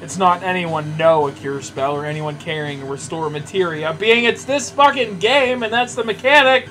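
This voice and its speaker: Higher-pitched casual man over music. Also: moderate pace, bright, forceful tone. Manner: hesitant, informal, sweary. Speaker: podcast co-host. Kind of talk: casual joking commentary.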